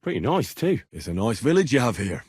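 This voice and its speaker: Casting: earnest young medieval retainer, slightly rough voice, expressive delivery, conversational game dialogue, close dry sound. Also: male voice, medium pitch, fairly quick, no echo. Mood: polite, inquisitive. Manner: colloquial, conversational, inquisitive. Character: earnest, plain-spoken young medieval man in a lord's service. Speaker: video game protagonist. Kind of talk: naturalistic game dialogue acting.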